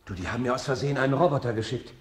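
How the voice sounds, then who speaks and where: A man's voice: mid-range voice, racing, natural voice. An actor playing a mild, conscientious hobbyist father in a 1970s German radio play. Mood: astonished, firm. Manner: mild, placating. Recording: vintage radio recording, roomy, faint noise.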